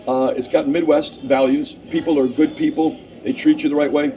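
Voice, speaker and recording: A man in his fifties, a guest coach in a casual interview at a deli table, chatty and quick to repeat himself as he tells stories. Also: a little high, fairly even intonation, mellow, poor audio. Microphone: table mic. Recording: diner chatter in the background. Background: busy deli chatter.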